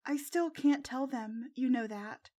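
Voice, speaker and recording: A woman voicing an anxious, tender-hearted teenage girl with a soft, earnest, emotional way of speaking in a narrated story. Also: high voice, measured pace. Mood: guilty.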